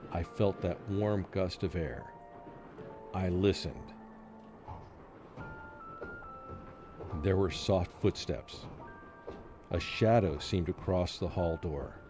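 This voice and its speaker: A tormented professor played by a man narrates a radio play in a fairly low voice. He sounds fearful and anguished, halting at times and breaking into frantic outbursts. Music plays clearly behind him. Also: dark tone. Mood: haunted, weary. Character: tormented, fearful male professor under a deadly curse. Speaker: narrating lead in a radio drama. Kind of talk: dramatic first-person radio play narration. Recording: prominent music under the voice.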